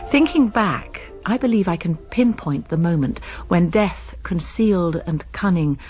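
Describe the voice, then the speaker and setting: A woman playing a wry college nurse who narrates a radio drama in measured tones, with an English accent and a husky voice. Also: on the low side, a little mellow. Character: wry, reflective female college nurse recalling events.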